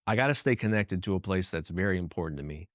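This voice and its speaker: A young man from the United States narrates in an earnest, conversational way, with casual asides. His voice sits low. The recording is dry and close, with no room sound. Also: animated, quick pace, dry recording. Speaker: archive founder and host. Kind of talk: scripted voice-over narration.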